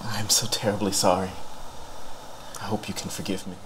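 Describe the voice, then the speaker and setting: A man's voice: lowish voice, flat delivery, a little fast, background noise, lightly acted. An actor playing a courteous, earnest young king in an audio fairy-tale drama, speaking formally. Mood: tender, apologetic.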